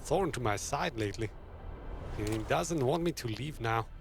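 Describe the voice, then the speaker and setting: A man's voice: medium pitch, lively intonation, moderate pace, vocal fry, noisy background. A man hosting a gaming video, giving casual, laid-back commentary and conversational reactions as he plays. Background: game music.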